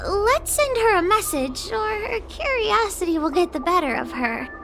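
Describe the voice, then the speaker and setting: A woman's voice: extremely high pitch, big pitch swings, normal speed, creaky, exaggerated voice. A woman voicing a polite, earnest and anxious young girl in an anime-style video game. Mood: concerned. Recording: music behind the voice.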